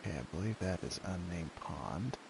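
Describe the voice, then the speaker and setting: A laid-back man with a gravelly, soothing smoker's voice, giving casual commentary on a survival game as he plays. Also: deep voice, animated, moderate pace. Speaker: game streamer. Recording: clearly noisy background.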